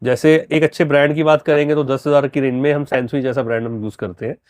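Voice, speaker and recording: Man, mid-pitched, dryly recorded. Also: steady tone, fast talker, darkish tone, no room sound. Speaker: car audio dealer and installer. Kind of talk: conversational product explanation.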